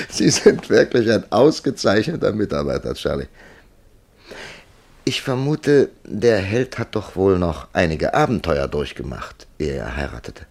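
An actor playing a thoughtful writer who narrates in a radio drama, with a gravelly voice and a measured, deliberate delivery. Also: male voice, low-pitched, big pitch swings, darkish tone, full character voice. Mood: amused, probing. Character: thoughtful male writer narrating his own puzzling encounter. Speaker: radio play lead and narrator. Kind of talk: German radio drama acting.

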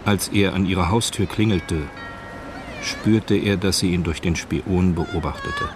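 Deep-voiced male radio drama narrator. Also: huge pitch swings, measured pace, heavy background noise.

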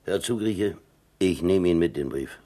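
An actor playing a plain-spoken, wry German prisoner in a radio play, talking in a laconic, musing, colloquial way. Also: male voice, deep voice, unhurried, dark tone. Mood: patient, gently cautioning. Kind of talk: radio play acting and narration.

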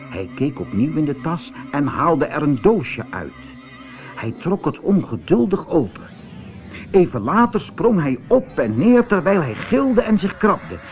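A man narrating a children's audiobook in a theatrical, expressive storytelling manner. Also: medium pitch.

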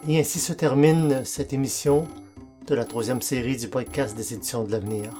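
A man's voice: mid-range voice, steady tone, normal speed, warm tone. A man presenting a podcast, reading out closing credits in a scripted announcer style. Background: music.

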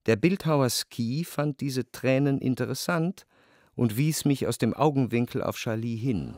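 A man narrating a literary radio play in a measured, faintly ironic manner. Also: mid-range voice, animated, a little mellow, dry recording, clean studio sound.

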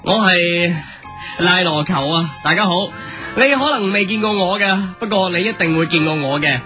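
A man's voice: high-pitched, moderate pace, sharp tone, soft background music. A man playing a boastful, chatty sound-effects performer in a comic Hong Kong Cantonese radio sketch. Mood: chirpy, boastful. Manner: chatty, self-promoting. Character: boastful, chirpy male TV sound-effects performer greeting fans.

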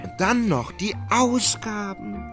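An actor playing a lethargic, fretful Russian gentleman in a radio play, querulous and full of whining self-pity. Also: sits high, very animated, slow, exaggerated voice. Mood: fretful, complaining. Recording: music behind the voice.

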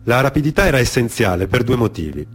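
A man's voice: medium pitch, sing-song, fast talker. Male radio-theatre storyteller over faint music. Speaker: storyteller, actor. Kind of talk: dramatic narrative monologue for radio theatre.

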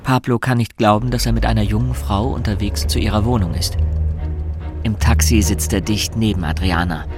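An actor as the composed, observant narrator of a radio drama, reading scripted prose. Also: male voice, lowish voice, fairly even intonation, moderate pace, over music, lightly acted. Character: omniscient storyteller, composed and observant.

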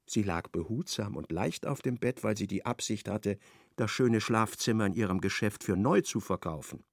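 A man narrating a literary radio play in a measured, precise storytelling manner. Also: lowish voice, gravelly, dry recording, studio quality.

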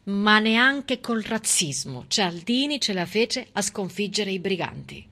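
A woman's voice: medium pitch, big pitch swings, takes it slowly, bright, forceful tone. A middle-aged woman performing a theatrical civic monologue, telling history in an emphatic, dramatic and ironic manner. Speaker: stage actress and storyteller.

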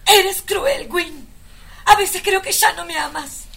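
An actress playing a naive, adoring secretary and secret mistress in an overwrought, cooing radio melodrama parody. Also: female voice, very high voice, slow pace, fairly bright tone, exaggerated voice. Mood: plaintive.

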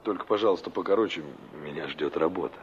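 An actor playing an impatient, hot-headed young carpenter, brusque and defensive, in a Soviet radio drama. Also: male voice, low-pitched, fast, slight background noise, lightly acted. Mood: brusque, irritated. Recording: muffled 1960s radio play recording.

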